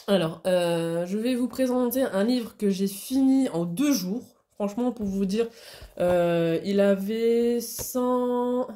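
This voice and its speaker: A woman's voice: a little high, warm tone. A woman with a cold and a blocked nose, chatting casually in a hobby vlog, with many hesitations and restarts. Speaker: hobby vlogger. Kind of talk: casual chat.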